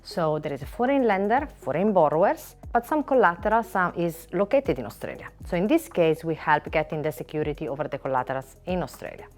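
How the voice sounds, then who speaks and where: Low-voiced Italian woman lawyer. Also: lively intonation, darkish tone, soft background music. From Italy. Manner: measured, listing items with frequent "uh" pauses. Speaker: finance lawyer. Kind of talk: panel interview answer.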